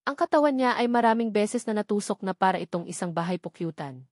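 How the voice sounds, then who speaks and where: A woman reading scripted audiobook narration of an action novel in measured, formal sentences. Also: medium pitch, expressive, dry recording, studio quality.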